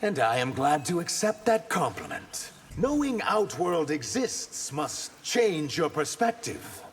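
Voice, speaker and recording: Earnest reptilian shapeshifter, guarded fighter, lively swooping pitch, long reverb, game banter. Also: male voice, a little slow. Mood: earnest, challenging. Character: earnest, guarded male reptilian shapeshifter warrior in human form. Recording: long reverb, faint background noise.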